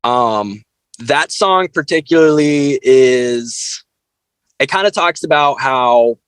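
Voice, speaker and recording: A man with a mid-pitched voice talks informally as a guest in an interview. His delivery is halting and conversational, full of "like" as he works out his thoughts. The sound is close and dry. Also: animated, clear, resonant tone, no room sound. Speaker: interview guest, band frontman. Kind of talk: casual interview chat.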